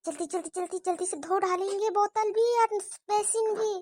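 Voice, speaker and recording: A woman's voice: very high voice, normal speed, no echo. A woman playing a scheming elder daughter-in-law who mutters her plots and sweet-talks her mother-in-law in a homemade family drama skit. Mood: plotting, hushed. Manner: plotting in hushed mutters, sweet to her face.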